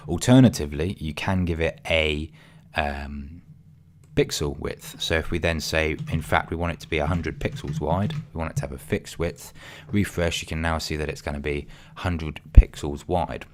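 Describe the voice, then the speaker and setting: A man, voice getting croaky, talking through a coding tutorial casually with many ums and apologies. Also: very deep voice, steady tone, moderate pace. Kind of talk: screen-recorded coding tutorial.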